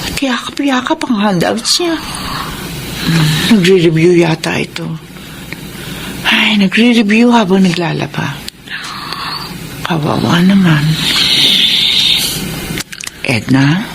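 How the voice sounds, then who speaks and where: A woman playing a kindly, concerned Filipino lady of the house in a radio drama, caring and unhurried. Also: medium pitch, expressive, gravelly, background noise.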